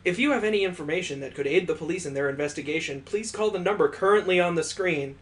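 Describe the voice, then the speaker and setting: A man playing a theatrical TV news anchor, speaking with deliberate emphasis in a visual novel reading. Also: high voice, lightly acted. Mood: official.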